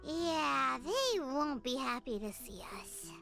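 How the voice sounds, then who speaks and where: A woman voicing a tiny, chirpy fairy-like companion in a squeaky, bright voice. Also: big pitch swings, slow, gravelly, music in the background, exaggerated voice. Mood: perky. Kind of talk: game voice acting.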